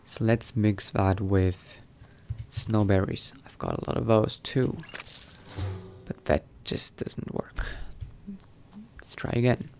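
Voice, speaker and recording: A man doing in-character game commentary, thinking aloud in a musing, unhurried murmur to himself. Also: lowish voice, steady tone, vocal fry, a little mellow, a little hiss or hum. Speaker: gamer narrating a roleplay playthrough.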